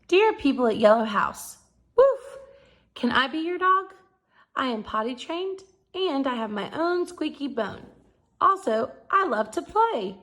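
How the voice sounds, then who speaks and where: A woman voicing an eager, high-pitched storybook puppy. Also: a bit bright, full character voice. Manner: pleading, earnest, playful. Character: eager, hopeful young male stray dog, polite and cheeky.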